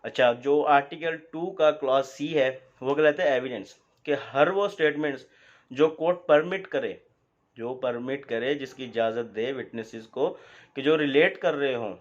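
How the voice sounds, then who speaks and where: A man with a Pakistani accent gives an explanatory law lecture, teaching didactically and often asking questions that he answers himself. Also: medium pitch. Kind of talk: explanatory lecture, mixing Urdu with English legal terms. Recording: dull, lo-fi sound.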